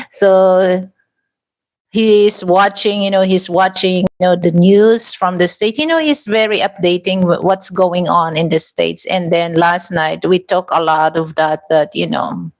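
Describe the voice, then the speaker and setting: A woman's voice: fairly low pitch, fairly even intonation, slow. A woman with a Filipino accent talking casually to her viewers in a vlog, rambling with many restarts and "you know"s. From Philippines. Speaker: vlogger.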